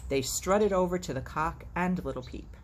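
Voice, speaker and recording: A woman's voice: fairly low pitch, normal speed. A woman hosting storytime, reading expressively and dropping in playful, sardonic, chatty asides. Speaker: storytime host. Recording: faint background noise.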